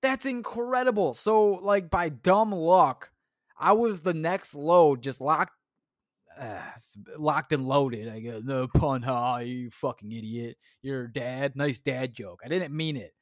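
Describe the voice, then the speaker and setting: Young dude ranting on his podcast, swears nonstop, kinda high voice, dry close mic. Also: slow pace, dry recording. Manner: crude, rambling, self-mocking, swears a lot. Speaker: podcast host. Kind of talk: solo comedic podcast rant.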